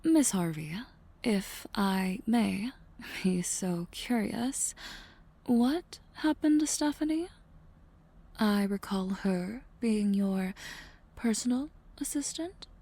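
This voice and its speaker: A woman playing a deadpan, unenthusiastic drug maker in an audio drama, terse and cool. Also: mid-range voice, takes it slowly. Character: deadpan, unenthusiastic female party-pill maker. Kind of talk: scripted audio roleplay acting.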